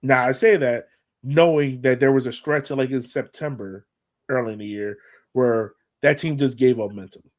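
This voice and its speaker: A man's voice: mid-range voice, moderate pace. Man giving emphatic, colloquial soccer podcast analysis. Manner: emphatic, repeats for effect, colloquial. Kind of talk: casual sports analysis.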